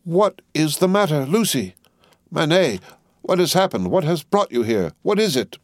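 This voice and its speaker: A male narrator acts out an elderly gentleman from a storybook. He is anxious and protective, speaking with earnest, solemn urgency. The sound is dry and intimate. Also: on the low side, moderate pace, dry recording, lightly acted. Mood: alarmed.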